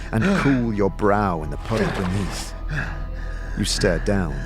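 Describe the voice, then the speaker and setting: A man with a fairly low voice narrates a scripted fantasy tale. His delivery is theatrical and swings widely in pitch as he addresses the listener as the hero. Music plays clearly behind him. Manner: theatrical, expressive. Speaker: narrator and game master voice. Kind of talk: dramatic second-person scripted storytelling.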